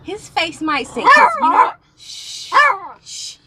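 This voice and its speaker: High, lively teasing woman in a noisy cab. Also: takes it slowly. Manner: teasing, chatty. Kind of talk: casual chat.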